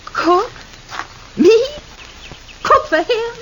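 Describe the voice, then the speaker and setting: An actress playing a brazen, scheming frontier gold-digger in a 1950s radio western, with an American accent and coy, feigned sweetness. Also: female voice, very high voice, lively intonation, extremely slow, heavily acted. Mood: indignant. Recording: noisy vintage 1950s radio broadcast.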